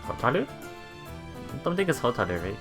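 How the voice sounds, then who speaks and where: Lively, musing visual novel let's player. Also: mid-range voice, moderate pace, music in the background. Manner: musing, often thinking aloud. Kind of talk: reading visual novel text aloud with casual reactions.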